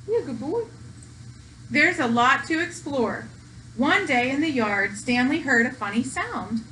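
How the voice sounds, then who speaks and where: A woman's voice: high voice, expressive, a little slow, bright, forceful tone, distant mic, a little hiss or hum, rough recording. A woman reading a picture book aloud to young children, speaking simply and affectionately, as a school educator does.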